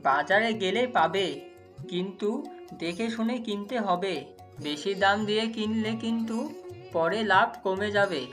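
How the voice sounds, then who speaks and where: Cartoon husband played by a woman, patient and instructive, measured phrasing, medium pitch, music underneath. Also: a bit bright, lightly acted. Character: husband patiently advising his two rival wives. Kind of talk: cartoon story dialogue. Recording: music behind the voice.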